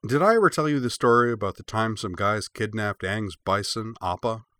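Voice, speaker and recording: A man reads a friendly old joker from a storybook. He talks in a breezy, familiar, teasing way with a bright tone. The sound is close and dry. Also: on the low side, normal speed, no room sound. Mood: grinning, teasing.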